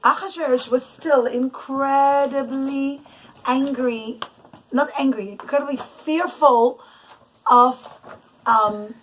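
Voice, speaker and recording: A woman telling a bedtime story to children off the cuff, in a halting way with emphatic repetition. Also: sits high, slight background noise, rough recording. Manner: halting, restarts and loses the thread, emphatic repetition.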